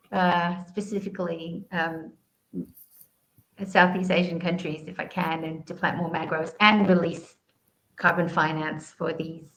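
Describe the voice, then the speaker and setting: A woman's voice: on the low side, rough recording. A woman from Southeast Asia, a guest answering interview questions in an earnest way, explaining at length with frequent ums.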